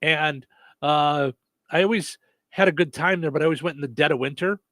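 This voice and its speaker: A man's voice: a little high, brisk, fairly bright tone, dry recording. A man hosting a radio show in casual chat, a comedian telling rambling stories full of fillers and restarts. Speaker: stand-up comedian.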